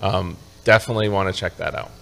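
A man hosts a live show in an easygoing, chatty way. His voice sits on the low side. He is from upstate New York and keeps the talk loose and friendly. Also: fast, vocal fry, fairly bright tone. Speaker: show host. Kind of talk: casual live show chat.

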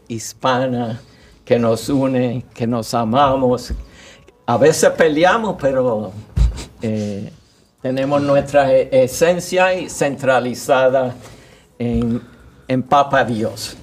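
Slow Puerto Rican radio host. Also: male voice, medium pitch, a little hiss or hum. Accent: Puerto Rican Spanish. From Puerto Rico. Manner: slow, halting, many fillers.

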